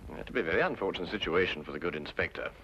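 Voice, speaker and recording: A man's voice: sits low, animated, rapid-fire, slight background noise. An English actor playing a confident, nonchalant male detective with dry wit in an old-time radio drama. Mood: dry, wry. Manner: nonchalant, deductive, dryly witty. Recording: dull old radio recording, music behind.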